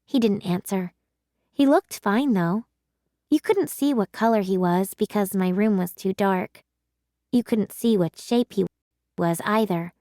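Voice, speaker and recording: Unhurried woman reading a children's story aloud. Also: medium pitch, mellow, dry recording, clean studio sound. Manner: measured, dramatic storytelling. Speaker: children's audiobook reader.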